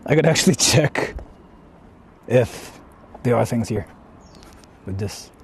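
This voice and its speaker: A man's voice: medium pitch, lively intonation, takes it slowly, slight background noise. A young man streaming live while exploring outdoors, talking casually and rambling in asides to his viewers. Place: outdoors exploring a rural area.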